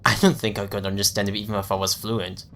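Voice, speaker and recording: Man playing a cocky, excitable young American slacker. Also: lowish voice, fast talker, slight background noise. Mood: dismissive, amused. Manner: mocking, excitable, slangy. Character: cocky, amused, sarcastic young male slacker friend. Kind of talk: dramatized audio story dialogue.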